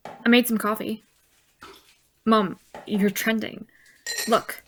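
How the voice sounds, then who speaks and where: A woman's voice: on the high side, flat delivery, slow, a little hiss or hum. An actress playing a young woman, the sullen and sarcastic daughter of a scientist, in an audio drama. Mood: concerned, impatient.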